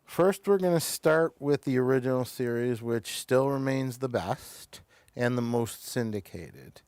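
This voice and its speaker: American guy hosting a chat show, takes his time, clean smooth voice, kinda hesitant and wandering. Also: mid-range voice, expressive, measured pace, a little mellow, no room sound. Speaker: talk show host. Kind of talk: casual unscripted talk show chat.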